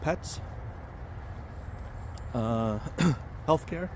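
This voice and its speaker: A man hosting a casual vlog while walking outdoors near a highway, chatty and rambling with many fillers and restarts. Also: expressive, drawn-out words, noisy background. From Romania. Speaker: vlog host, financial planner.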